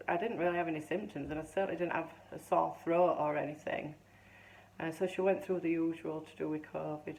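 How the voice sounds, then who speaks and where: A woman in her fifties with a low, gravelly voice that is still a touch hoarse. She talks in a chatty, rambling way, often restarting her sentences as she tells her story. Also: a little mellow, a little hiss or hum, rough recording. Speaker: vlogger. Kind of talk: casual chatty vlog.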